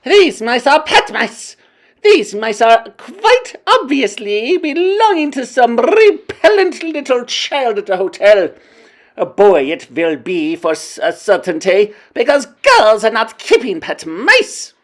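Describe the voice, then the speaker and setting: A woman voicing the menacing Grand High Witch, barking commands with stilted phrasing. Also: big pitch swings, slow pace. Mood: commanding.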